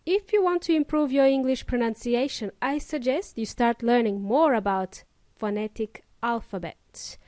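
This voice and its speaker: High-voiced woman with an Eastern European accent. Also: moderate pace, a little mellow, no room sound. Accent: Eastern European with New Zealand and Australian traces. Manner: upbeat, addresses listeners directly with Spanish asides. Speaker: English teacher podcast host. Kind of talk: friendly podcast lesson.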